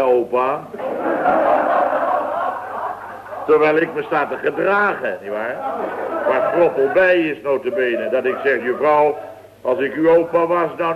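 A man's voice: on the high side, normal speed, over a noisy crowd, lightly acted. An actor playing a grumbling, put-upon older man who rambles through his anecdotes indignantly in a comic radio play, acting out what others said. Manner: indignant, rambling, acts out reported dialogue. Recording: narrow-band old radio recording.

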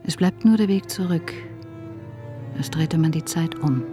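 An actress playing a grave, anxious female physician on a space crew in a German radio drama, speaking in a measured, pensive way. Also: low voice. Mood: brooding. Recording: music under the voice.

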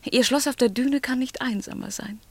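An actress playing a young, charming, witty lady-in-waiting in a German radio drama, teasing, ironic and sharp-tongued. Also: high-pitched, moderate pace. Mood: playful, mocking. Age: young adult.

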